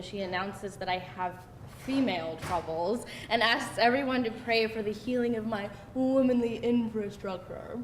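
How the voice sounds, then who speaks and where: A woman's voice: fairly high pitch, lightly acted. A woman acting a candid, wry young woman telling stories in a live screenplay reading. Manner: animated, hesitant storytelling peppered with laughter. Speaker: actor at a screenplay table read. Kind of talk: conversational live script reading. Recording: echoey room with faint noise.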